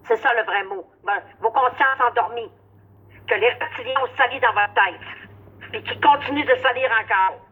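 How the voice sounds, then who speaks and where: Combative man, husky voice, heard over a thin phone line. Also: very high voice, moderate pace. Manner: blunt, combative, coarse. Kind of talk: casual chat.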